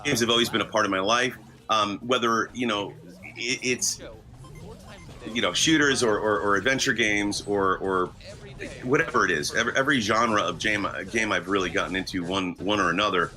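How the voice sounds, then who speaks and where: Male, middle-aged, lowish pitch, forceful bright tone, earnest and emphatic interviewee. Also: normal speed. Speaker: guest voice actor. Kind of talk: thoughtful interview answers.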